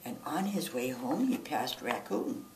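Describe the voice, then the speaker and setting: A woman reading a storybook aloud as a children's storyteller, in an animated style. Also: very deep voice, measured pace. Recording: roomy, distant mic, faint noise.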